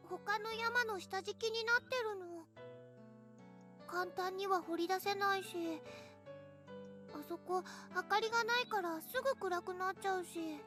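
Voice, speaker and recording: Very high, childlike anime schoolgirl. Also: a little fast. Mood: subdued. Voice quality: childlike, sweet. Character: cheerful, cute-obsessed, dreamy schoolgirl. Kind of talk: Japanese anime visual novel acting. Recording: music behind the voice.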